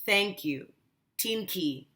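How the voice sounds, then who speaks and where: Woman reading as a sad, slow-spoken old grandfather. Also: medium pitch, animated, pushed and bright, heavily acted. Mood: grateful. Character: sad, gentle elderly Cree grandfather.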